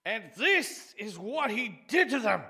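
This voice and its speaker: Man acting a high-voiced, outraged prosecutor. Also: big pitch swings, measured pace, vocal fry, very noisy, full character voice. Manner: theatrical, indignant oratory. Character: outraged male courtroom prosecutor, righteous and impassioned. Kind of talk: comic dub character acting.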